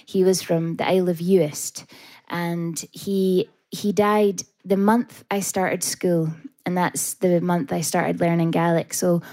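A Scottish woman, a folk singer, talking softly with many pauses and fillers about her background. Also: fairly low pitch, fairly even intonation. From Scotland. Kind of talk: interview and live stage talk.

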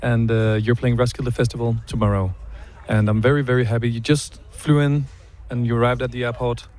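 A man's voice: medium pitch, little pitch movement, fairly quick, a little mellow. Chatty man interviewing outdoors. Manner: friendly, chatty, with many fillers. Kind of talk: casual interview. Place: city park outdoors.

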